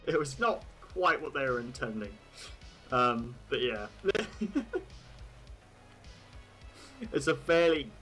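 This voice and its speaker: A young British man with a bright, forceful voice and a hint of Australian in some words chats loosely with his livestream viewers. He rambles, laughs at his own jokes and thinks aloud. Soft music plays faintly behind him. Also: mid-range voice. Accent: British, with occasional Australian words. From Britain. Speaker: livestream host. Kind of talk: casual chat with viewers.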